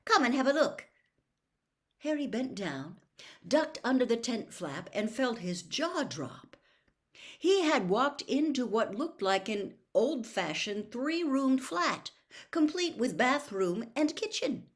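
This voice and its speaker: A woman reading a novel aloud as an expressive storyteller, in audiobook-style narration. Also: fairly high pitch, takes it slowly. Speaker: storybook reader.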